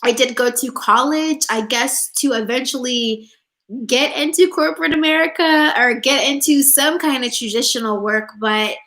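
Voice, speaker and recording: Young woman in her twenties, vlogger: bright, slightly high voice, upbeat and chatty, many "like"s. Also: normal speed. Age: 20s. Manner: chatty and upbeat, many "like"s, reads out viewer comments. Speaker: spiritual coach and vlogger. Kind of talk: casual livestream chat answering viewer questions.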